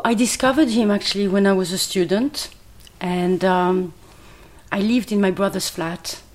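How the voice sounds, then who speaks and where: A woman's voice: fairly low pitch, a little mellow. A woman whose first language is French, a writer and translator, chatting as a podcast guest in her kitchen and speaking reflectively with an easy laugh. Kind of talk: casual conversational interview. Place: home kitchen.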